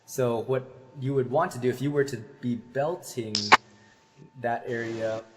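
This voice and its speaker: A man's voice: mid-range voice, fairly even intonation, unhurried, heavy background noise. Hesitant man explaining singing technique. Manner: thoughtful, hesitant with many fillers, demonstrates sung vowels. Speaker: voice teacher.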